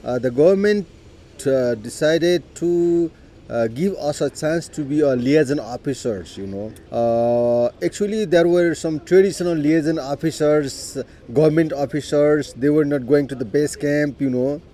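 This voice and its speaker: A middle-aged man with a Nepali accent, telling his life story in an unhurried way, with frequent "you know" and throat clearing. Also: fairly high pitch, mellow, a little hiss or hum. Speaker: interviewee. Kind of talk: personal life story told in conversation.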